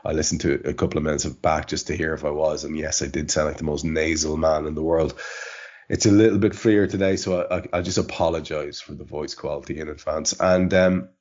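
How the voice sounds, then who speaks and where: Very deep-voiced congested man hosting. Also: steady tone, fairly quick, a little mellow. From Ireland. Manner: rambling, wry, full of fillers. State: congested, sniffling from allergies. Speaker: podcast host. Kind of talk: casual chat. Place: home studio in rural Ireland. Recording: dull lo-fi podcast audio.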